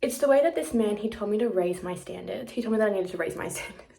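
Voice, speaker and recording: A woman's voice: mid-range voice, expressive, fast, creaky, dark tone. Dramatic young woman chatting casually. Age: about 19, nearly 20. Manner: dramatic, rambling, self-deprecating. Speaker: vlogger. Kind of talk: casual confessional chat to camera.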